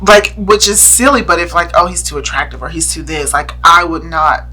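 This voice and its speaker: A woman's voice: on the low side, brisk, faint music behind, low-quality recording. A woman in her thirties, a podcast guest, speaking candidly and chattily. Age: 30s. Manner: candid, chatty, animated storytelling. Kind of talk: casual podcast chat.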